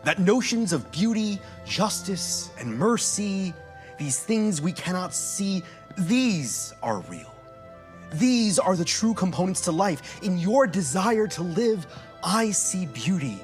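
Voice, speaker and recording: A woman playing an earnest, loyal philosophical companion in a live sci-fi audio play, by turns measured and excitable. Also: fairly low pitch. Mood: gentle, earnest. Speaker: actor in a live stage audio drama. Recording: live stage play with music bed.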